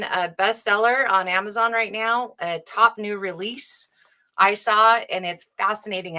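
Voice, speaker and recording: A woman's voice: medium pitch, pushed and bright. A middle-aged woman hosting a live interview show, eager but hesitant, with many fillers. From United States. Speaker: host.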